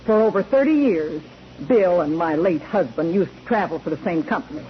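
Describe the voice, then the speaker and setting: An actress playing an older, plain-spoken widowed landlady, giving measured testimony in a 1940s radio courtroom drama. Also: on the low side, lively intonation, lightly acted. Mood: matter-of-fact. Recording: muffled, noisy old 1940s radio recording.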